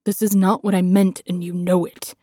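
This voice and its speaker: A proud male lieutenant, acted by a woman narrator in a fantasy audiobook. The delivery is intense and sardonic. The tone is soft and dark, with a close dry sound. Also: on the low side, flat delivery, moderate pace, husky, no echo. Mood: demanding. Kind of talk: dramatic fantasy audiobook acting.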